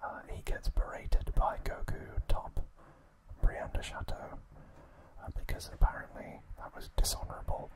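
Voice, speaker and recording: An ASMR host narrating in a hushed, breathy, unvoiced whisper, slow and unhurried. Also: poor audio. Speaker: ASMR host recapping an anime series.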